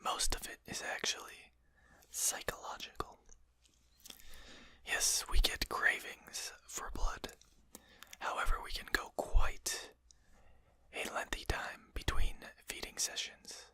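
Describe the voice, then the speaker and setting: Breathy whisper, very bright and hissing, a performer as a polite vampire lord, formal wording, composed, ASMR roleplay. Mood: reassuring, genteel. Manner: polite, formal, unhurried hushed speech.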